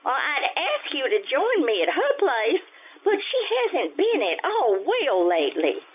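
A woman's voice: high-pitched, wildly swooping pitch, measured pace, full character voice. A woman playing a kindly, absent-minded older landlady in an old-time radio comedy. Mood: wistful, chatty. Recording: noisy, tinny imitation AM radio.

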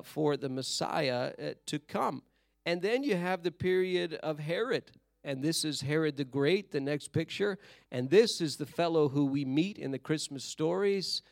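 A slightly hoarse man giving a sermon-style Bible history lesson, telling it in a measured, explanatory way and stretching key words. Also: fairly high pitch, no room sound, studio quality.

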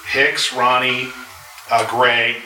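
A man's voice: medium pitch, level tone, takes it slowly, distant mic. Hesitant man in a noisy, echoey room. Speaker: panelist. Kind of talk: casual panel discussion. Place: live convention panel.

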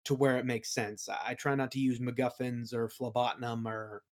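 A man's voice: medium pitch, flat delivery, fairly quick, darkish tone. Casual, rambling man as podcast guest. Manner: rambling, colloquial, acts out quoted remarks. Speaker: guest, game master and audio play creator. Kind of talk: casual chat, anecdotes.

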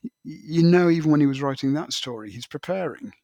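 A man's voice: fairly high pitch, lively intonation, fairly quick, a little mellow, no room sound. A man co-hosting a conversational book discussion, informal and thinking aloud, repeating words as he makes a point. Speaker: podcast co-host.

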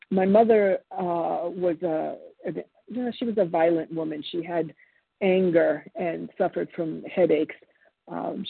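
A woman in her seventies whose first language is Italian, sharing her story at a recovery meeting in a halting, reflective way with many pauses and restarts. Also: on the low side, creaky, husky, no room sound. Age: 70s. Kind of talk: personal testimony at a recovery meeting. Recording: phone-line audio.

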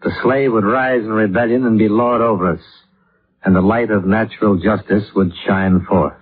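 A cool, hard-boiled American investigator in an old radio detective show. His voice is fairly low and husky, his delivery matter-of-fact. The sound is narrow and muffled, like an old broadcast. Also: steady tone, moderate pace. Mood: reading aloud, measured. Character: probing, terse male insurance investigator, hard-boiled and cool. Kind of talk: 1950s radio detective acting. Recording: muffled, phone-like vintage radio recording.

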